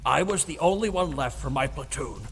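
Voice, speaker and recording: An old battle veteran for audio drama, gruff and defensive, with a bright, creaky voice. He rambles like a storyteller and stammers when challenged. The sound is very reverberant, with music behind. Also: on the high side, expressive, a little fast, slight background noise. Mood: haunted, weary. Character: gruff, defensive old male war veteran and dragon-slayer, Abraxas.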